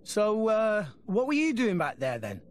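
A man's voice: high-pitched, huge pitch swings, unhurried, slight background noise, full character voice. An actor playing a plucky, hot-headed teenage boy hero in a video game, earnest and defiant. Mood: curious. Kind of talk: video game cutscene voice acting.

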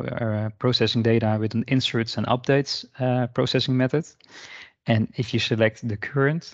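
A man narrating an unscripted screen-share software tutorial in an informal, explanatory way. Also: mid-range voice, no room sound, highs cut off. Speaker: software demonstrator. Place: indoor voice-over for screen recording.

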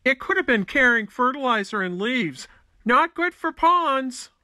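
A woman playing a helpful, careful fifth-grade child speaking deliberately in a scripted puppet show. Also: high-pitched, sing-song, pushed and bright, no room sound, clean studio sound, exaggerated voice.

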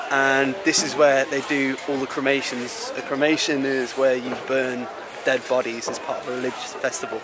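A man's voice: mid-range voice, very noisy. A young man talking casually to viewers in a travel vlog, filmed at arm's length on a camera mic. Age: young adult. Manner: chatty, upbeat, addresses viewers directly. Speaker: travel vlogger. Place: outdoors by a river and in a bedroom. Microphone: camera mic, selfie at arm's length.

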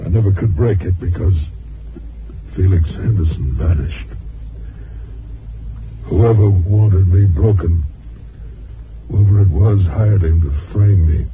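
An actor with an American accent playing a hard-boiled newspaper reporter in a 1950s radio drama. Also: male voice, deep voice, takes it slowly, pauses often, slight background noise. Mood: bitter, defeated. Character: ambitious hard-boiled newspaper reporter, later a bitter washed-up loser. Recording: muffled old 1950s radio recording with music.

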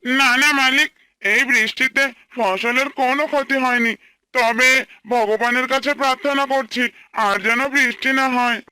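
A man's voice: very high voice, fairly even intonation, normal speed, pushed and bright, smooth, no echo, full character voice. A man voicing an earnest, plaintive, humble farmer and worried husband in a cartoon. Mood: worried, pleading. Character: anxious humble young farmer and husband, pleading. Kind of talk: Bengali cartoon dialogue dubbing.

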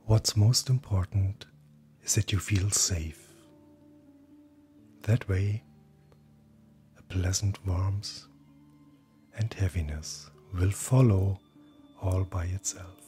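A man speaking as a hypnotherapist, guiding sleep hypnosis in a calm, gentle, breathy voice that often nears a whisper. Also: sits low, slow pace, pauses often. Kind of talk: guided hypnosis, soothing scripted narration. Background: soft background music.

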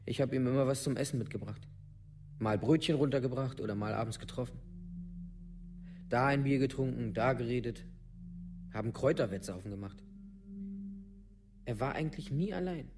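Casting: male actor as rough village youth, slangy, fragmentary storyteller, medium pitch, radio drama. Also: moderate pace, long pauses, natural voice. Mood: matter-of-fact. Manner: colloquial, clipped storytelling in fragments. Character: rough teenage village lad, friend of the victim. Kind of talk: documentary radio play monologue.

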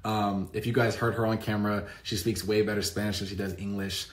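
A man in his thirties with a low voice chats straight into a phone held close. He rambles, restarts sentences and leans on fillers. The sound is from a bright room at home. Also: flat delivery, brisk. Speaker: vlogger answering viewer questions. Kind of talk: casual to-camera chat.

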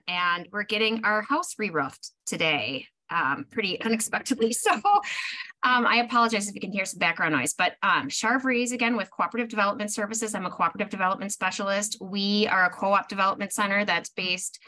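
A middle-aged woman, a co-op development specialist, speaking from home on a video call, apologetic and animated. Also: medium pitch, brisk, rough recording. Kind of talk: casual video call introduction. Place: home, indoors.

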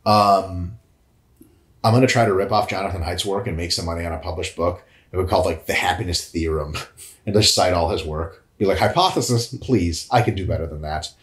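A man in his thirties on a couch, answering viewer questions in a casual, jokey, profane way, with big swings in pitch. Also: fairly quick. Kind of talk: casual chat. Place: indoor room, on a couch. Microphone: lapel mic. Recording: echoey room.

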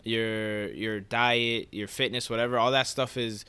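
A man's voice: on the low side, steady tone, unhurried, bright, forceful tone, clear, resonant tone. A young man hosting a casual podcast discussion, speaking in a conversational way. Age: young adult. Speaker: podcast host. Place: small living room, indoors. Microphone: podcast microphone.

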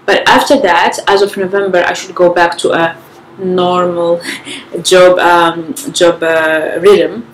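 A woman's voice: lowish voice, little pitch movement, slight background noise. A young woman vlogger giving frank advice to the camera from her living room, sounding tired and a bit nasal from a cold. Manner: frank, unhurried, with small restarts. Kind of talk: casual advice talk to camera. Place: living room with sofa, indoors. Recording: echoey room.

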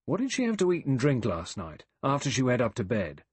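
Man playing a suspicious male investigator, blunt, forceful, colloquial, animated pitch, dry recording. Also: moderate pace, slightly rough, no echo. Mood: probing. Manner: forceful, colloquial, rhetorical questioning.

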